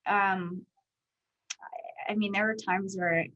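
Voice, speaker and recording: A woman in her thirties from Canada with a high voice, answering interview questions as a guest speaker in a candid, chatty way full of fillers. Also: steady tone, slow pace, a bit bright, muffled recording, slight background noise. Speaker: guest speaker, company executive.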